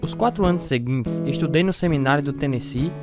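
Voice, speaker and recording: Male actor as earnest ex-boxer evangelist, slightly high voice, radio drama narration, devout, clear music underneath. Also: a little fast, lightly acted. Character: earnest former boxer turned evangelist telling his life story.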